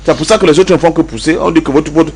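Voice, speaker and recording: A man with a notably high voice and a Cameroonian accent speaks as a panelist in a political debate. He drives his points home emphatically, repeating key phrases and posing rhetorical questions. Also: fast talker, breathy edge. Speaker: panelist in a pan-African debate.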